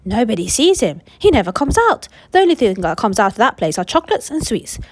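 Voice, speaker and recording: A woman voicing an old grandfather who tells a tale in a steady, confiding way, in a storybook reading. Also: fairly high pitch, fast.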